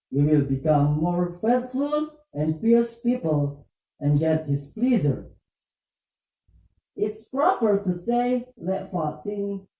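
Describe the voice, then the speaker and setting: Puppet-show storyteller, halting and deliberate delivery, slow with a lively swinging pitch, distant echoey recording. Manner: halting, deliberate phrasing in non-native English. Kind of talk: slow scripted puppet-show dialogue on religion. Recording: roomy echo, distant muffled microphone.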